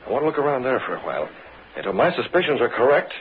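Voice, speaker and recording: An American actor playing a resolute masked crime-fighter, brisk and commanding, in vintage radio drama style on a thin, noisy old recording. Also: male voice, medium pitch, sing-song, phone-quality sound, close to an everyday voice. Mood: eager, resolute. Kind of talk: 1930s-40s radio drama acting.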